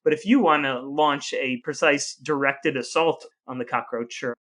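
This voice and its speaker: A man's voice: medium pitch, steady tone, moderate pace, a bit bright. A man co-hosting a podcast, telling stories casually in a wry, jokey way. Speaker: podcast co-host. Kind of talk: casual conversational storytelling.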